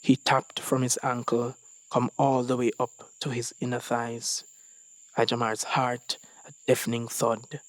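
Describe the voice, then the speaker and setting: A man reading a short story as scripted dramatic narration, with deliberate phrasing and dramatic pauses. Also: medium pitch, completely flat delivery, no room sound, rough recording. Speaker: story reader.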